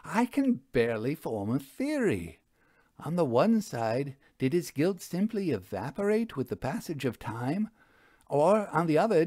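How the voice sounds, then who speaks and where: Audiobook mystery role: male reader as self-assured female ghost hunter, rhetorical, deliberate, mid-pitched, dry sound. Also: warm tone, no echo. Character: Vera, confident adult woman ghost hunter, dramatic and musing. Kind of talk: audiobook character dialogue.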